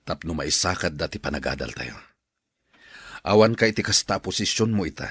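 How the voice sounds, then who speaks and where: Very deep, stern elder brother. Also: male voice, lively intonation, fairly quick, a bit bright, breathy edge, dry recording, clean studio sound, full character voice. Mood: grave, reproving. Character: stern, principled elder brother reminding of family debts. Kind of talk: radio drama acting in Ilocano.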